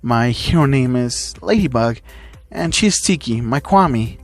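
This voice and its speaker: A man's voice: medium pitch, animated, unhurried. A man voicing a secretive, weary young woman hero in a narrated story. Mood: resigned explaining.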